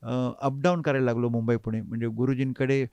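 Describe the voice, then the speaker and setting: A man, reminiscing reflectively as an interview guest and often pausing mid-sentence to find his words. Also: medium pitch, flat delivery, darkish tone, clear, resonant tone, no room sound, muffled recording. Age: 50s. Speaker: interview guest, harmonium player. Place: recording studio.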